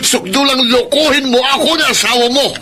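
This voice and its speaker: A man's voice: very high voice, animated, a little fast, a crowd in the background. A man acting in a Filipino radio drama as a hurt, proud young husband who speaks with reproach and resolve. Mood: hurt, accusing. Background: dramatic music.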